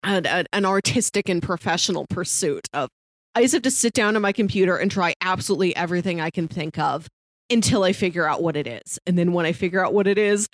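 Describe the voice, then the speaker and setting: A woman's voice: fairly low pitch, fast, dry recording. A woman co-hosting a podcast, casually talking through a TV series and animatedly retelling it with acted-out quotes. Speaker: podcast co-host.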